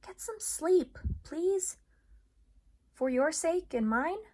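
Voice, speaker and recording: A woman's voice: very high-pitched, expressive, slow, dark tone, pitched above the performer's own voice, full character voice. A woman voicing a loyal, caring and bossy male dog in an earnest children's storybook read-aloud. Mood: gentle.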